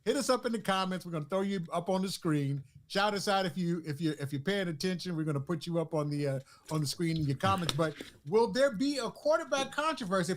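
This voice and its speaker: A man with a fairly high voice hosts a sports talk show. He keeps the energy up, prompting viewers and his co-host. His delivery is lively and casual. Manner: energetic, hypes the audience.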